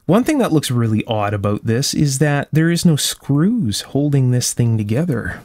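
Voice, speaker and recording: A man with a medium-pitched voice narrates hands-on repair work in a casual way. He explains each step carefully, like a workshop host walking a viewer through the job. The sound is dry, with very little room in it. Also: very animated, moderate pace, a little mellow, no echo. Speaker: electronics restorer and video host. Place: indoor electronics workshop at a bench.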